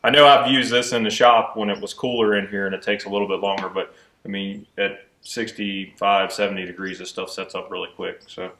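A man's voice: lowish voice, little pitch movement, fairly quick, a bit bright, echoey room, slight background noise. A middle-aged man explaining gear in a plain, matter-of-fact way in a workshop. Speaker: kayak maker. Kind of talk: casual explanation. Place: open garage workshop.

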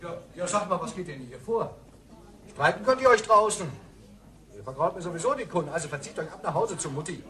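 A man's voice: fairly high pitch, expressive, a little fast, pushed and bright. A man playing an irritated, condescending shopkeeper with a brusque manner in a radio play. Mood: annoyed. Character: irritated, dismissive male shopkeeper.

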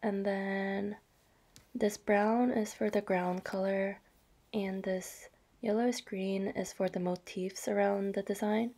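A woman vlogger narrating her craft projects in a soft, chatty way. She is slightly hoarse from a cold and adds small apologies and fillers. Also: medium pitch, fairly even intonation, slow pace. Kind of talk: casual show-and-tell narration.

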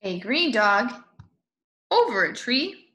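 A woman in her twenties reading a picture book aloud to young children in an expressive way, with drawn-out words. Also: on the high side. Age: 20s. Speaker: storybook reader. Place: small room at home, indoors. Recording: echoey room.

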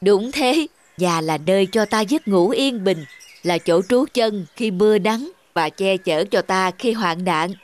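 A woman voicing a wise, kindly older uncle snail in a cartoon, patiently and gently guiding. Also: medium pitch, expressive, a little slow, natural voice. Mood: wise, explaining. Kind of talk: cartoon dubbing. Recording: music behind the voice.

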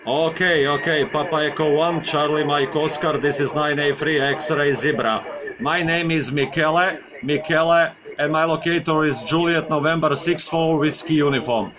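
A man making a friendly amateur radio contact, halting and repeating his words. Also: fairly high pitch, fairly even intonation, crowd noise, low-quality recording. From Croatia.

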